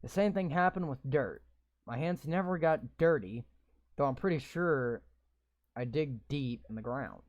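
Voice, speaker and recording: A man reading a game's story text aloud in a slow, deliberate way, breaking off for blunt, sweary, sarcastic asides. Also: mid-range voice, lively intonation, a little mellow, highs cut off. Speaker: gamer reading a visual novel aloud. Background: game music.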